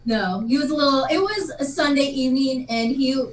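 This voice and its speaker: A woman joins the conversation with short, easygoing comments. Her voice sits a little higher than average. The recording is roomy, with a noticeable echo. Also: brisk. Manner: brief, agreeable interjections. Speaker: guest. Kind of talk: casual interview chat. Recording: echoey room.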